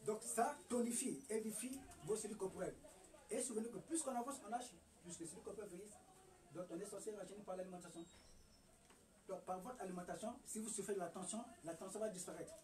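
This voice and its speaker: A man's voice: high voice, quick pace, darkish tone, recorded from a distance, low-quality recording. A man from the Congo lecturing on diet and remedies, hectoring with rhetorical questions and stammered restarts. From Republic of the Congo. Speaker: herbal remedy promoter. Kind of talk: lecturing monologue.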